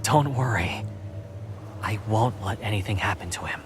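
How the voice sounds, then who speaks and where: A man's voice: on the low side, moderate pace, a little hiss or hum, natural voice. A man playing a composed, decisive god of death who gives instructions in an audio drama. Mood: reassuring.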